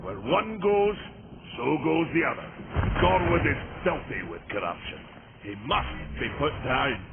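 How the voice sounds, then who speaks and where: Casting: a solemn, gravelly old chieftain, somewhat high, tinny game audio. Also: male voice, sing-song, a little slow, heavy background noise, heavily acted. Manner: solemn storytelling, heavy sighs.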